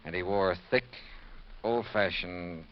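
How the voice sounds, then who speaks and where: An actor playing a hard-boiled, wry male investigator with a clipped, deliberate delivery in a 1950s radio detective drama. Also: sits low, slight background noise. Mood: accusing, deliberate. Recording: muffled old radio recording.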